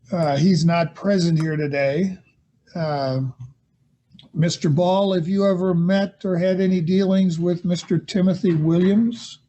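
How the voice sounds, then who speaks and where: High-voiced man presiding as judge. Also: dark tone, dull audio. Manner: measured, hesitant with many fillers. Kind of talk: court hearing over video call. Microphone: video call.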